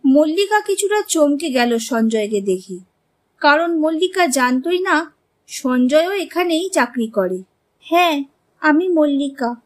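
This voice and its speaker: Female narrator for animated tales: high pitch, scripted storytelling delivery. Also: a little slow.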